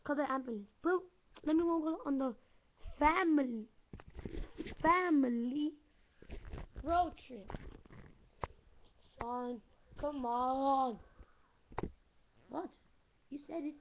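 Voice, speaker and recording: A woman improvising toy-figure dialogue for a home video, halting and giggly, with lots of fillers and restarts. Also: high-pitched, a little hiss or hum. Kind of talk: playful improvised toy-movie chatter. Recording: muffled lo-fi home recording.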